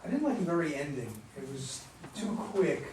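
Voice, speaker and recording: A man giving casual critique in a halting manner. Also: fairly high pitch, very animated. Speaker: workshop participant. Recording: very echoey room, distant mic, faint noise.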